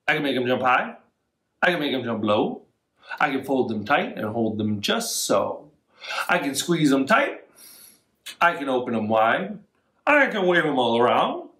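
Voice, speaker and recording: Expressive, roomy-sounding man hosting children's storytime. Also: on the high side. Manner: expressive, encouraging to young listeners. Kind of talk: children's storytime reading, songs and action rhymes. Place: indoors, living room. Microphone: camera a few feet away. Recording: roomy living-room echo, camera mic.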